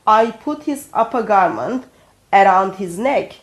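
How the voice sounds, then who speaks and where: A woman with a medium-pitched voice and a Turkish accent. Her English is careful and halting, that of a non-native speaker, as she co-hosts an explanatory discussion and cites references. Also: lively intonation, low-quality recording. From Turkey. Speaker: co-host.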